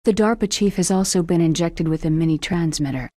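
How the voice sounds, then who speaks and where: Woman playing cool, low-pitched female scientist. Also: fairly quick, a little mellow, no echo, clean studio sound, close to an everyday voice. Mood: cool, informative. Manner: crisp, matter-of-fact, clinical. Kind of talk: video game cutscene acting.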